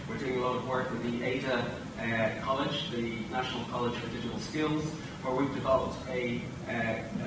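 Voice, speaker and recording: A man with an Irish accent giving a measured conference panel speech, often pausing on uh. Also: medium pitch. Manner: measured, frequent uh fillers. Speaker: tech company executive panelist. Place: large hotel conference hall. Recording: echoey hall, distant mic, noisy.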